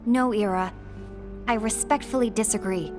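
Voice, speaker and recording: An actress playing a composed, diplomatic leader in a video game cutscene, with formal and careful speech. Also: female voice, mid-range voice, moderate pace, natural voice. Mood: firm, composed. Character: composed, diplomatic, loyal female fantasy order leader. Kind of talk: animated game cutscene acting. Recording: music behind the voice. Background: music.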